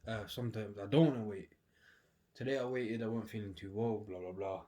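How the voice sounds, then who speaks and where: A man's voice: lowish voice, fairly even intonation, brisk, mellow. A man with a cold, sniffling as he chats casually to camera while unboxing clothes at home, laid-back and slangy. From United Kingdom. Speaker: vlogger. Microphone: camera on a tripod. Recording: clear background noise.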